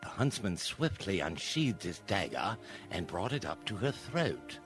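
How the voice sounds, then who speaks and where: A man's voice: low-pitched, sing-song, unhurried. A man narrating a radio play as a dramatic, scripted story. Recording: music behind the voice.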